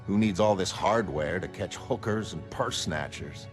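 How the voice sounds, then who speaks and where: A low-pitched middle-aged man, as an actor plays him, a former costumed hero in a comic-book drama. He is earnest and wistful, speaking hesitantly and reflectively. Music sits behind the voice. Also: a little slow. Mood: wistful, nostalgic.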